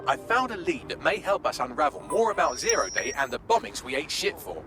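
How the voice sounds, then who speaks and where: A British man playing a cheeky, sardonic, excitable AI hacker assistant in a video game, with a gleeful, sweary delivery. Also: a little high, fairly quick, over music. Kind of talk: video game mission dialogue.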